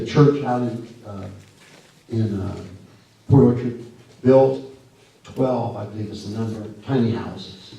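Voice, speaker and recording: A man with a scratchy voice giving a folksy, earnest talk at a church and answering questions, halting often with fillers and restarts. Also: mid-range voice, mellow. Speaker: guest speaker. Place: church sanctuary. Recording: echoey hall from far off.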